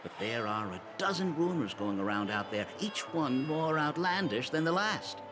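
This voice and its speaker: Actor as a wry, gossipy security man, very animated. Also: on the high side, moderate pace, natural voice. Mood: conspiratorial. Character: gossipy, sardonic male security worker with formal airs. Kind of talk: video game voice acting. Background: game music.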